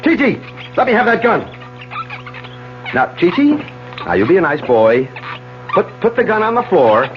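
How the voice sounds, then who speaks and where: Commanding doctor with widely swinging pitch. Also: male voice, wildly swooping pitch, heavily acted. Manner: commanding, instructing, deliberate. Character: authoritative, controlled male doctor managing a crisis. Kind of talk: 1970s radio mystery drama acting. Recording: dull 1970s radio broadcast with music.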